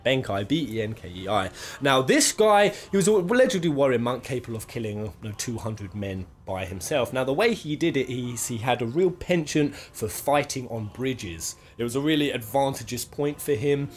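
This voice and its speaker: A man's voice: medium pitch, expressive, moderate pace, soft background music. A man hosting a countdown video, giving enthusiastic opinion commentary in an informal, rambling and emphatic way. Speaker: host of a gaming countdown video.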